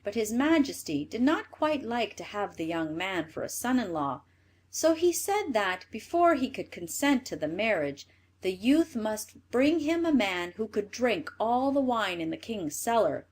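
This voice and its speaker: Woman reading a kids fairy tale, expressive, voice goes up and down loads. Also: medium pitch, a little slow. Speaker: storyteller. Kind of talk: scripted fairy-tale narration.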